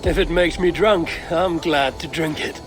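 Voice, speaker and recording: A male actor plays a guarded Viking clan leader for a video game. His voice sits slightly high for a man, and his delivery is measured, wry and formal. Music runs behind the lines. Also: lightly acted. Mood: relaxed, wry. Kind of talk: video game dramatic dialogue. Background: background music.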